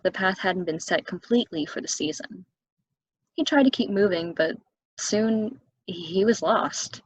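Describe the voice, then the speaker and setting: A woman's voice: fairly low pitch, breathy edge, dry recording, rough recording. An actress playing a level-headed, skeptical woman with a dry, conversational delivery, in a table reading of a stage play.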